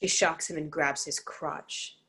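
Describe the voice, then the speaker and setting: A woman's voice: deep voice, steady tone, unhurried, sharp tone. A woman reading stage directions as the narrator, in a scripted narration style.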